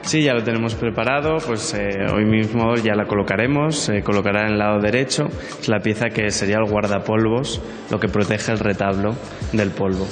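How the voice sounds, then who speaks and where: A man's voice: fairly low pitch, steady tone, a little fast, soft background music. A man answering interview questions in an explanatory way as an art restorer.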